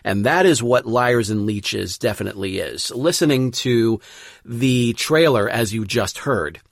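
A man's voice: mid-range voice, unhurried, gravelly, dry recording, studio quality. A man hosting a podcast, introducing the show in an enthusiastic, emphatic way. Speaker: podcast host and presenter. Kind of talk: semi-scripted show introduction.

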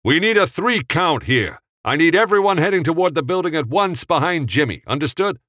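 A man voicing a commanding male film director who shouts orders on set. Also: fairly low pitch, very animated, unhurried, dry recording, clean studio sound, pitched above the performer's own voice, full character voice. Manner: barking, emphatic.

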